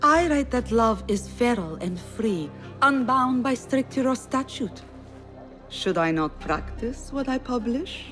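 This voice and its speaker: A woman's voice: fairly high pitch, animated, unhurried, fairly bright tone, faint music behind. A woman playing a proud, witty, flirtatious poet in a video game, speaking in eloquent, theatrical phrases. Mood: proud, reflective. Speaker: game character, poetess.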